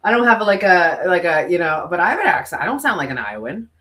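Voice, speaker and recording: A woman's voice: fairly low pitch, sing-song, quick pace, a bit bright. A woman co-hosting a podcast, telling stories emphatically in candid, conversational talk and often quoting herself and others. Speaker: podcast co-host, former TV news presenter.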